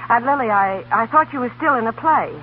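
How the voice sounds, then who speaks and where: An actress playing a dry, crisp young schoolteacher in a 1930s radio drama, restrained and sensible. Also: female voice, fairly high pitch, expressive, a little fast, lightly acted. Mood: uneasy. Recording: tinny, noisy 1930s radio broadcast.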